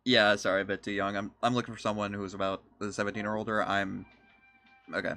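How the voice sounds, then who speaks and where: A man's voice: on the low side, little pitch movement, fast talker, bright, forceful tone, faint music behind. A man streaming casually in online voice chat, easygoing and hesitant with little restarts. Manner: laid-back, hesitant restarts. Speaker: streamer host.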